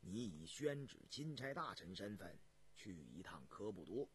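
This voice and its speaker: Storybook emperor, ageing, weary and commanding, acted by a male narrator; hushed, husky delivery, slow and weighty. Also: sing-song, exaggerated voice. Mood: measured, approving. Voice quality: low, breathy, hushed. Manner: slow, deliberate, imperial. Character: weary ageing Chinese emperor, measured, commanding, sometimes testy.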